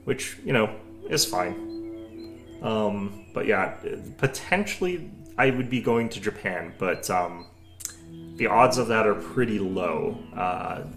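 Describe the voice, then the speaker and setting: A young man with a low, gravelly voice chats loosely with his stream viewers, wandering between thoughts with plenty of fillers. Faint music plays underneath him. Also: animated, slow, fairly bright tone. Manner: rambling, many fillers and restarts. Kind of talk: casual chat with stream viewers.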